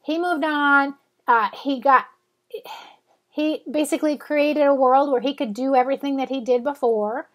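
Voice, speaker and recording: A woman's voice: high-pitched, steady tone, moderate pace, a bit bright. A woman in her forties, stuffed up from a cold, chatting in a rambling, self-correcting way as she talks to her blog readers. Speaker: blogger. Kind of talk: casual vlog chat.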